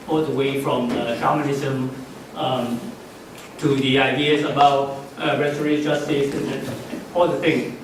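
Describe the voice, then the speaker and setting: A middle-aged man whose first language is Cantonese, giving halting, unscripted closing remarks at a conference, with many fillers and restarts. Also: a little high. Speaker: conference speaker. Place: conference hall. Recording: echoey hall, far from the mic, faint noise.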